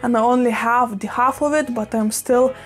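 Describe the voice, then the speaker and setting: A young woman whose first language is Russian, chatting quickly and gushing with plenty of exclamations while repotting plants at her desk in a small room full of plants. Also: on the high side, fairly even intonation. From Russian-speaking, living in Lithuania. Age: young adult. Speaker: plant vlogger. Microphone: fixed camera at desk. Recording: faint background music under the voice. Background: soft background music.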